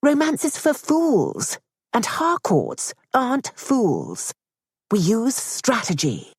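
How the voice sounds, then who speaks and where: A woman voicing a stern, scheming aristocratic mother who speaks in a brisk, cutting, commanding way. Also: on the high side, huge pitch swings, husky, no room sound, studio quality, pitched above the performer's own voice, heavily acted. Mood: earnest, insistent. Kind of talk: audiobook character voice.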